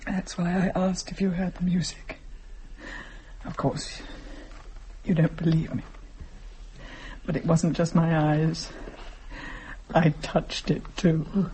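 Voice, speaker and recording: An actress playing a haunted, fearful hostess in a radio drama, grave and intense, with deliberate phrasing. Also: female voice, lowish voice, level tone, warm tone, slight background noise, low-quality recording. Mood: grave, shaken. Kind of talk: dramatic radio play acting.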